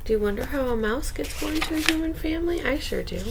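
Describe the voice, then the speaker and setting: A woman with a fairly high voice reads a children's story for bedtime. She is expressive and speaks directly to young listeners, mixing narration with chatty little asides. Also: quick pace, vocal fry, noisy background. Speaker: storyteller reading a children's book aloud.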